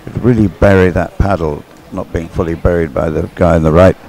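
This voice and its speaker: A man's voice: low-pitched, steady tone, fairly quick, a little mellow. A man giving casual, explanatory live race commentary as a sports commentator.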